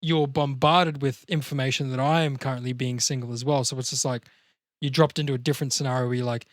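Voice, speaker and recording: A man's voice: mid-range voice, steady tone, quick pace, dry recording, studio quality. A man who acts, talking casually as a podcast co-host. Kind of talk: casual chat about acting.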